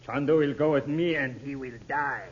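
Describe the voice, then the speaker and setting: An actor playing a menacing male assassin who talks in slow, halting broken English, in an old radio drama. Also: medium pitch, breathy edge, close to an everyday voice. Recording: dull old radio recording.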